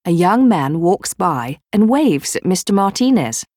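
A woman narrating a language course in a measured, instructional tone. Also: on the low side, very animated, a little mellow, dry recording, studio quality. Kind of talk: scripted narration. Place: dry studio.

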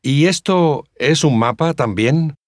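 An actor playing a courteous adult male teacher in a language-course dialogue, speaking slowly, clearly and politely. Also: a little high, no room sound, studio quality. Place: dry studio.

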